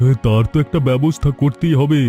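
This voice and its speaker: A man's voice: a little high, fairly quick, mellow, faint music behind, lightly acted. A man playing a lazy, smug idler brother in a cartoon, grumbling and mocking. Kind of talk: Bengali cartoon story acting.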